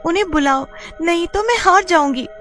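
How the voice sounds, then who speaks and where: A woman voicing an earnest young girl wrestler, a devoted daughter, in an animated story. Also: extremely high pitch, a little fast, soft background music, voice pushed up. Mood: anxious, pleading. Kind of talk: Hindi animated story acting.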